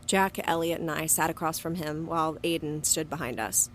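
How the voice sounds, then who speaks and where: A woman's voice: deep voice, fairly even intonation, moderate pace, noisy background, close to an everyday voice. An actress playing a guarded, defiant young woman in an audio drama, terse and dry in both narration and dialogue. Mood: brooding narration. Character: defiant, guarded young female monster hunter, narrating her story.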